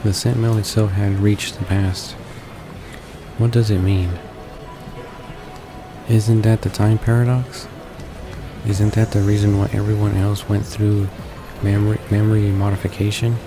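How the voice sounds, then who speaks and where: A man playing a visual novel and reading its text aloud in a halting way, stumbling and repeating words. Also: lowish voice, level tone. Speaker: let's player. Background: game background music.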